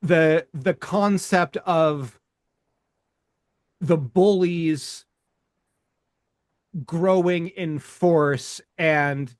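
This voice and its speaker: Male podcast host, slightly high voice, unhurried and halting, reflective delivery, close dry recording. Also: no echo. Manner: halting, thoughtful, carefully weighing words.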